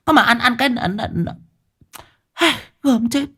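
A man voicing a sharp-tongued old wife who scolds and grumbles. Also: very high voice, animated, slow, husky, pitched above the performer's own voice, exaggerated voice. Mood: grumbling.

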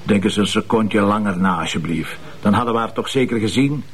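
A man with a Flemish accent playing a gruff, impatient, sarcastic police commissioner in a TV crime drama. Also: lowish voice, a little fast. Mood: exasperated, bossy. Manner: bossy, exasperated. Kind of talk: naturalistic TV police drama acting.

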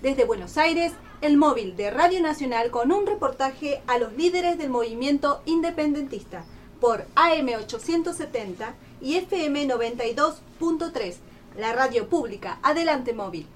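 A woman's voice: high-pitched, lively intonation, moderate pace, a little hiss or hum. A woman playing a formal radio studio presenter in a radio play. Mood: brisk, formal. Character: female radio studio presenter handing over to reporter.

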